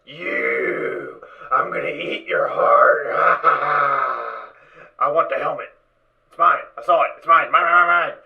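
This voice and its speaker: A performer voicing a savage, crazed raider pony in an audio drama, shouting threats in a foul-mouthed frenzy. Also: mid-range voice, very animated, slow, vocal fry, lo-fi sound, exaggerated voice. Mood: manic rage. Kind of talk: fan audio drama acting.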